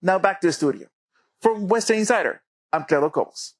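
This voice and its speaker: A man's voice: high voice, expressive, fairly quick. Man reading a news package. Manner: read from script. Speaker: student news reporter. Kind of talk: news report voice-over.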